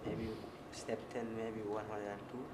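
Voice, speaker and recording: Distant male student explaining math haltingly. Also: on the low side, little pitch movement. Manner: halting non-native English. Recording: distant, echoey classroom with noise.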